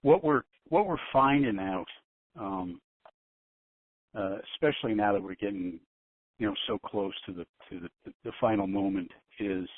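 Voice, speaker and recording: Halting low-voiced man on phone. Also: slightly rough, no echo. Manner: halting, repeats phrases. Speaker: call panelist. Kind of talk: informal commentary on conference call. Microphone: telephone. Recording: narrowband tinny phone line.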